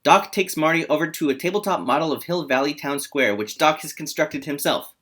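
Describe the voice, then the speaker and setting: A man's voice: a little high, brisk, a bit bright. A man hosting a table read and narrating the screenplay's stage directions.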